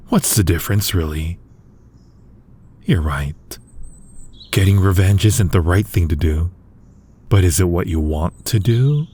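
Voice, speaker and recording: A low, husky male actor's take on a supervillain, smug but secretly protective. He talks intimately and conversationally, as in an ASMR audio roleplay, coaxing and teasing his nemesis with drawn-out words. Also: darkish tone, exaggerated voice. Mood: sly, coaxing.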